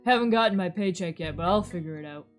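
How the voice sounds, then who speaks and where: A woman's voice: on the low side, fast talker, faint music behind, pitched below the performer's own voice, natural voice. A woman voicing a sullen, laid-back young slacker guy who mumbles in slang, in a visual novel. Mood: sullen, casual. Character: gruff, slouchy young man, sullen slacker.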